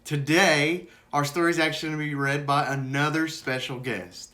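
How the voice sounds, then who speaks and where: A man's voice: fairly high pitch, fairly even intonation, moderate pace, pushed and bright. A man hosting a children's ministry video, talking informally to kids at home.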